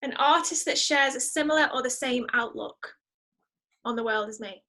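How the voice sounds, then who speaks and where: Bright, high-voiced woman in reflective conversation. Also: moderate pace. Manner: reflective, enthusiastic. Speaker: interview guest, musician. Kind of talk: casual interview conversation.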